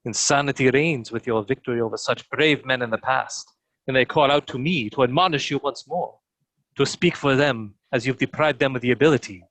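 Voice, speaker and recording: A man's voice: medium pitch, fairly quick, a bit bright, no room sound, close to an everyday voice, rough recording. A man playing a contemptuous, taunting soldier in a historical play reading, with sneering, declamatory archaic speech. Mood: bitter contempt. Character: contemptuous, taunting soldier, bitter toward condemned prisoner. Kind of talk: dramatic table read of historical play.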